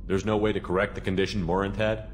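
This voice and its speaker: Casting: male actor as steady commander for a game, deep register, quick delivery, direct, long reverb and noise around him. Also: natural voice. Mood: probing, sympathetic. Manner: direct, businesslike. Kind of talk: video game dialogue acting.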